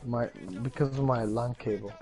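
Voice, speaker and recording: Guy in voice chat, blunt and sweary, mid pitch, loud hiss behind. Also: steady tone, normal speed. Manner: blunt, bursts of surprise, swears. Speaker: co-player on stream. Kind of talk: casual gaming chat.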